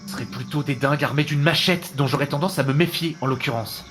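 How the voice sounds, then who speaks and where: An actor playing a brooding adventurer in an audio drama, with a laconic, dry, sardonic way of talking. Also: male voice, on the high side, fast talker, a bit bright, soft background music, natural voice. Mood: startled, wary. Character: brooding, wry male adventurer, master of the house.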